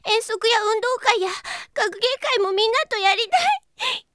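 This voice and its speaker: A woman's voice: very high voice, very animated, measured pace, a bit bright, no room sound, studio quality, full character voice. A woman playing a wistful, pleading little robot girl in an anime audio drama. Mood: pleading, tearful. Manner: childlike, pleading. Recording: music behind the voice.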